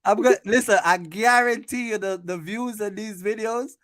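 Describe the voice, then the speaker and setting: A man's voice: high voice, moderate pace, fairly bright tone, no echo, studio quality. A man hosting a casual live quiz, teasing the players and repeating himself for emphasis. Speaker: host of a live quiz for his channel. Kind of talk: casual chat, game-show questioning.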